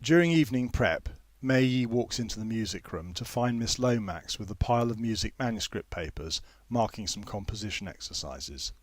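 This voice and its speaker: A man's voice: on the low side, lively intonation, moderate pace, hardly any pauses, no room sound. A man reading his own fiction aloud as scripted audiobook narration. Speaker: author reading own story.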